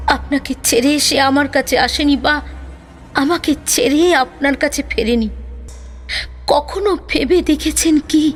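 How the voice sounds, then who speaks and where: A sorrowful, steadfast mistress, played by a woman in an audio drama. She speaks very slowly in a high voice, measured and earnest, with a pleading edge. Faint music runs underneath. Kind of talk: Bengali audio drama acting.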